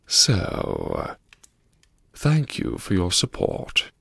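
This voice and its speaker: A genteel gentleman diarist, acted by a man for a dramatic audiobook, confessing with wry, mannered politeness. His low voice is creaky and husky. He hesitates formally, with wide swoops in intonation. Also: huge pitch swings, very slow, no echo, heavily acted. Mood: wry, confessional. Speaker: audiobook narrator. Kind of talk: first-person dramatic audiobook narration.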